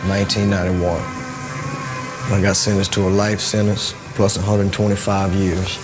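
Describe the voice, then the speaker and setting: A man, reflecting in an interview. His pitch is low and very level, and loud music runs behind his voice. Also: little pitch movement, normal speed. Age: 40s. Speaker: prison inmate, rodeo rider. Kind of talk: documentary interview, personal recollection.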